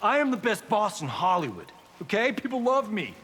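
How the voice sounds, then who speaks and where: Casting: a boastful, wisecracking action celebrity for a fighting game, slightly high and mocking, long reverb, faint noise. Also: male voice, huge pitch swings, normal speed, a bit bright, breathy edge, full character voice. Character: cocky, wisecracking male Hollywood action star. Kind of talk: video game character banter.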